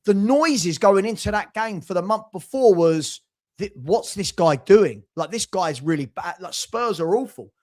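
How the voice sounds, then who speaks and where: A man on a football fan livestream, arguing his point in a brisk, reasoned way and checking in with rhetorical tag questions. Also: high-pitched, expressive, darkish tone. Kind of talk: casual argumentative chat.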